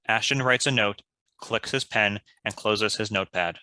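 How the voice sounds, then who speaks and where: Bright, forceful script narrator. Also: medium pitch, normal speed, rough recording. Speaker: stage direction reader. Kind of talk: scripted narration, reading stage directions.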